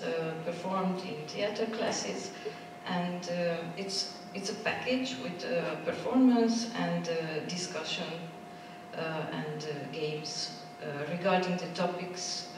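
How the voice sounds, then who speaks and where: Woman, slightly low voice, halting delivery, conference presentation, distant mic, reverberant noisy hall. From Romania. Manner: halting, searching for words in a non-native language. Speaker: theater professional, symposium speaker. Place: large theater hall.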